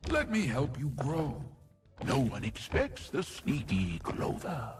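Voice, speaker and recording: An actor voicing a gentle, whimsical tree-like nature spirit in a video game, with a playful, singsong, dramatic delivery. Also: male voice, medium pitch, sing-song, slow pace, faint music behind. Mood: playful, mischievous. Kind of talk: video game character voice lines.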